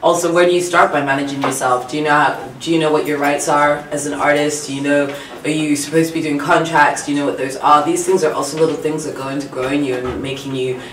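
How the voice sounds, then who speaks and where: A woman's voice: deep voice, fairly even intonation, quick pace, recorded from a distance, a little hiss or hum. Earnest woman in a roomy studio. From Tanzania. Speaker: guest singer-songwriter being interviewed. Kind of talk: casual interview talk. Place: small radio studio. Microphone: studio microphone on boom arm.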